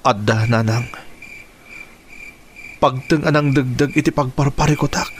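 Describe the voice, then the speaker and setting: A man with an Ilocano accent playing a guilty, hesitant young lover in a radio drama, halting and earnest. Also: medium pitch, slight background noise, lightly acted. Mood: troubled, confiding.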